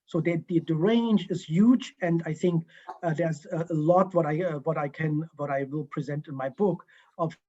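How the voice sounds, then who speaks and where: Man, German accent, fairly high voice, hesitant with many fillers, dull lo-fi video call sound. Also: darkish tone. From East Germany. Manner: halting, many ums and uhs, restarts. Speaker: guest historian. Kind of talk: Q&A answers over a video call. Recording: muffled video call audio.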